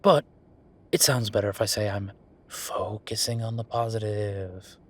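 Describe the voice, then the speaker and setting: A man playing a weary, wry younger werewolf boyfriend working late, speaking haltingly in an intimate roleplay audio drama. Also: steady tone. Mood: tired, frustrated. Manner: low-key, sardonic, halting, sighing.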